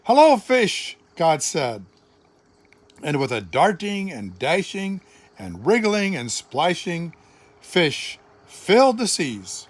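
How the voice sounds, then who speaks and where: An older man, a grandfather, reading a children's storybook aloud with dramatic, deliberate, expressive phrasing. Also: on the high side, no echo.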